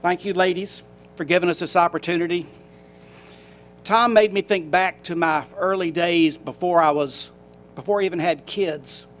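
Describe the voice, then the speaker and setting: A man with a Southern Tennessee accent speaks off the cuff as a county candidate. His voice is a little higher than most men's, and he talks in a folksy, halting way, often restarting his sentences. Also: a little hiss or hum. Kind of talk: off-the-cuff campaign remarks. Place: county government meeting room.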